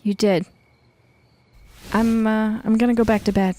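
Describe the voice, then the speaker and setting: A woman's voice: fairly high pitch, little pitch movement, a little slow, mellow, close to an everyday voice. An American actress playing a tough, guarded female leader of a neighborhood militia in a naturalistic post-apocalyptic radio drama. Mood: guarded, tender.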